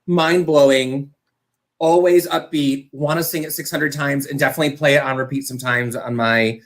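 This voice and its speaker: A man's voice: mid-range voice, steady tone, normal speed. A man with a mid-range voice chats as a panel co-host. He is opinionated and emphatic, stacking descriptive words as he explains himself in a casual way. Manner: emphatic, enthusiastic, piles up adjectives. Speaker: co-host, panelist on a choral music show.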